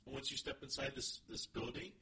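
A man's voice: mid-range voice, moderate pace, slightly rough. A man co-hosting a comedy podcast, chatting casually in a rambling way with many restarts. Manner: rambling, restarts a lot, animated. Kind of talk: casual banter.

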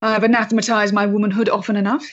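An actress playing a composed, bitter, principled Victorian freethinking woman in a stage play, speaking in a measured, formal way. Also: medium pitch, flat delivery, dull audio, natural voice. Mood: composed, wry. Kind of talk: period stage-play acting.

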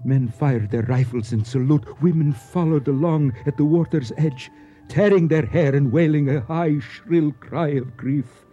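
Mellow, courtly storyteller. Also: male voice, a little high, slightly rough. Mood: reverent, mournful. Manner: formal, courteous, florid, deliberate phrasing. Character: courtly Egyptian man, learned, nostalgic storyteller. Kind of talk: radio drama acting. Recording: dull, muffled old radio recording.

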